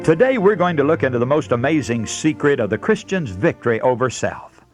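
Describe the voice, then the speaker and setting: American radio preacher with animated, swooping delivery. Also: male voice, medium pitch, a little fast, faint music behind. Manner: earnest, emphatic, didactic delivery. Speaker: radio preacher and broadcast host. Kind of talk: radio sermon with scripture readings.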